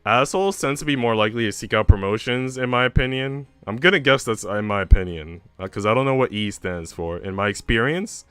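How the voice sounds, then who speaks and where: A young man with an American accent talks casually to his livestream. His voice is clear and resonant, on the low side, and his delivery is slangy and informal with some swearing. The sound is close and dry, with music playing behind him. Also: brisk, hardly any pauses, fairly bright tone, no room sound. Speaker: livestreamer and host. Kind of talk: casual livestream chat with viewers. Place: indoor room at home.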